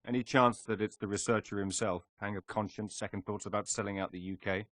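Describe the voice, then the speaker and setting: A man's voice: fairly low pitch, steady tone, a little fast, fairly bright tone, no room sound, close to an everyday voice. A man playing a suave secret agent in a video game cutscene, with clipped, cool, understated delivery. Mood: cool, alert.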